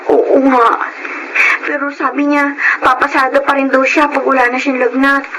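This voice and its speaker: A woman's voice: high-pitched, little pitch movement, normal speed, noisy background. A woman acting a caring, anxious student sister in a Filipino radio drama, with an earnest, plaintive manner. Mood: concerned.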